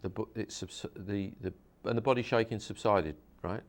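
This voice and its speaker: Man, very deep voice, hypnosis practitioner, interviewer, earnest, repetitive explaining. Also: moderate pace.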